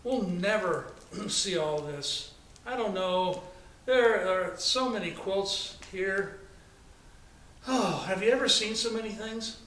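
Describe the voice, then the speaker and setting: An American man voicing an excitable, bossy little girl in a children's storybook, chatty and insistent. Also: sits high, slow. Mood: excited. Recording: echoey, distant, noisy room recording.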